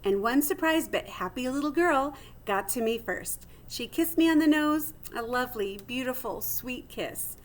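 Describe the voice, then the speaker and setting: A woman reading a children's storybook aloud like a teacher, with theatrical expression and clear phrasing. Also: high voice, animated, moderate pace, hardly any pauses.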